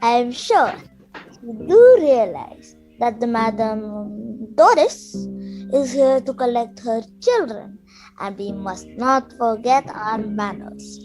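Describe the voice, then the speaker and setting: A woman's voice: on the high side, expressive, slow pace, a little mellow, faint music behind, poor audio. A woman acting a courtly, well-mannered count in a radio play, formal and polite.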